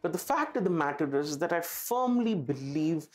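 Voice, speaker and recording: A man's voice: fairly high pitch, very animated, normal speed. A man with an Indian accent presents a product to camera in an enthusiastic, emphatic way. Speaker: presenter for an audio retailer. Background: background music.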